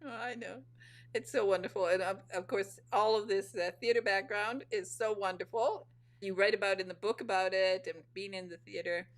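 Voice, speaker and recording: A woman's voice: medium pitch, fairly even intonation, normal speed. A woman from Michigan hosting an interview, enthusiastic and gushing about her guest's stories. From Michigan, United States. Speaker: podcast host. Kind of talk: casual interview chat.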